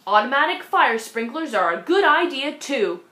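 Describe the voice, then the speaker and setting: Woman reading as a patient schoolteacher man, emphatic, bright tone, echoey room. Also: high voice, normal speed, exaggerated voice. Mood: instructive. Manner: instructive, steady and emphatic. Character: patient male schoolteacher, earnest lecturer.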